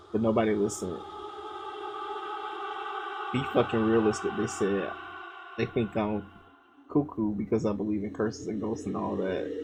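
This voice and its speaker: A man reading a horror visual novel's text aloud, breaking off to swear and react loudly between lines. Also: lowish voice, creaky. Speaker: gamer playing a visual novel. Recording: loud game music behind.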